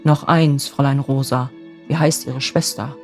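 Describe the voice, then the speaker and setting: Woman acting a low-voiced, awkward old bachelor. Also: fairly even intonation, normal speed, vocal fry, darkish tone. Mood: uneasy. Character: gruff old bachelor man, awkward but softening. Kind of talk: storybook fairy tale character voice. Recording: music bed under the voice.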